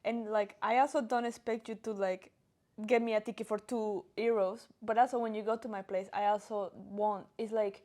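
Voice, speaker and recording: A young woman with a Colombian accent chatting casually as a podcast co-host, animated and expressive, with lots of "like". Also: medium pitch, normal speed, few pauses. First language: Spanish. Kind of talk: casual chat, personal anecdotes.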